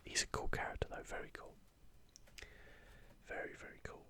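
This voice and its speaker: A man speaking in a soft, breathy whisper, gently musing in a casual ASMR show-and-tell close to the microphone. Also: normal speed. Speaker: host of an ASMR gaming channel.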